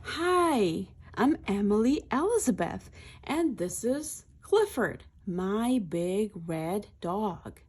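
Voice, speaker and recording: A woman's voice: mid-range voice, sing-song, slow, a little mellow, breathy edge. A woman reading a children's picture book aloud in short, simple phrases, adding explanatory asides.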